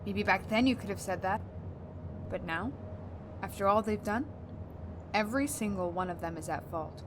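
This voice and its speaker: An actress playing a blunt, hardened war-veteran space captain in a science-fiction audio drama. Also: female voice, medium pitch, normal speed, noisy background, close to an everyday voice. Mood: bitter. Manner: blunt, hard-edged.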